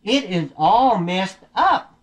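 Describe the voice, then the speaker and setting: A storyteller voicing an excitable storybook father bear, emphatic and urgent. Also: mid-range voice, takes it slowly, voice pushed up. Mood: alarmed.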